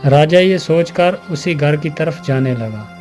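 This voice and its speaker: A man's voice: on the high side, animated, measured pace. A man narrating a scripted moral tale as a storyteller. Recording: music behind the voice.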